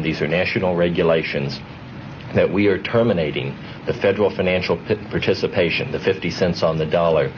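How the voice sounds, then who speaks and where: Deep-voiced male official on noisy tape. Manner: deliberate, bureaucratic phrasing. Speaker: government administrator. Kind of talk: formal explanatory statement. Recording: dull, noisy 1970s news actuality tape.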